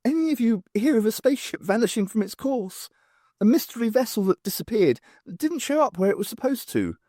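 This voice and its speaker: A performer voicing an earnest, wistful male alien with a high-pitched voice and a literal, emphatic manner in an audiobook. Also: big pitch swings, fairly quick, mellow, dry recording, studio quality. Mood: curious.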